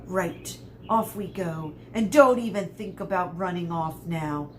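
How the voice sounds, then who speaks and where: A woman's voice: lowish voice, unhurried, a little hiss or hum, close to an everyday voice. A woman reading aloud voices a spiteful old male caretaker who gloats menacingly. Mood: gloating.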